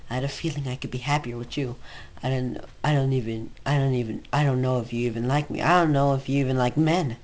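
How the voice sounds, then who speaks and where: A man's voice: medium pitch, steady tone, quick pace, darkish tone, natural voice. A man voicing a nervous, lovestruck young man who stammers hesitantly through a confession in a fan fiction reading. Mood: pleading. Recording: noisy home recording.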